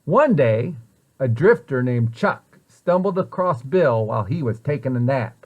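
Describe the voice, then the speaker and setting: A man reading a children's story aloud in an expressive way, with deliberate, dramatic emphasis. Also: mid-range voice, lo-fi sound. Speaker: storybook reader, site director at a children's center.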